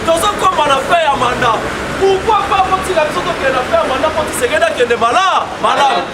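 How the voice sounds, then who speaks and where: Very high-pitched Congolese man rallying a crowd. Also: fast. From Democratic Republic of the Congo. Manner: emphatic, repetitive, prompting the crowd with questions and slogans. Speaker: political activist and street orator. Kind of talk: rallying political street speech with call and response. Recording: noisy outdoor crowd, roomy echoing sound, traffic. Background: loud crowd and vehicle noise.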